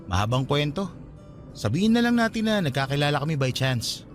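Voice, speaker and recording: A man playing a kind, courteous young gentleman in a Tagalog radio drama, easygoing and reassuring. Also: fairly high pitch, big pitch swings, brisk, soft background music. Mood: friendly, evasive.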